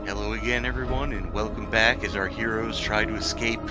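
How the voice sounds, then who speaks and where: A man's voice: fairly low pitch, steady tone, brisk, loud music behind the voice. A man hosting a tabletop role-playing game as game master, casual and joking, happy to swear.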